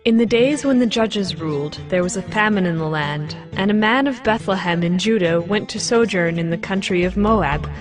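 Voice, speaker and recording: A woman's voice: medium pitch, moderate pace, hardly any pauses. A woman giving a scripted narration of a Bible story, with music playing behind her.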